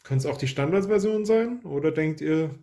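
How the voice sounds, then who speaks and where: A man's voice: a little high, lively intonation, normal speed, warm tone. A man hosting an unboxing, giving casual product review commentary.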